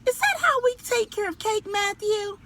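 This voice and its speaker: A woman storyteller reading a picture book aloud to children and pausing to ask them questions. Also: extremely high pitch, animated, fairly bright tone, a little hiss or hum.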